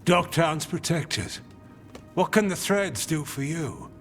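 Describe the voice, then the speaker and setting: A man playing a hard, protective underworld gang member in a video game, blunt, deliberate and menacing. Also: fairly high pitch, animated, faint music behind. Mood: hard resolve.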